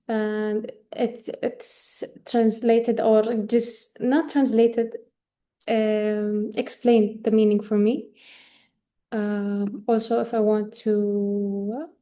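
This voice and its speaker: A woman's voice: a little high, warm tone. A woman giving a screen-share demo on a video call. She talks hesitantly, with many fillers, and slips in Arabic words. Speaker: workshop presenter.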